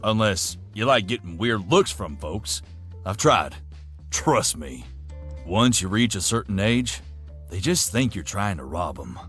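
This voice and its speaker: A man's voice: lowish voice, sing-song, measured pace, a bit bright, soft background music. A man voicing a big, teasing, grinning fellow with a folksy, playful manner in a visual novel game. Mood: wry, teasing. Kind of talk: visual novel character acting.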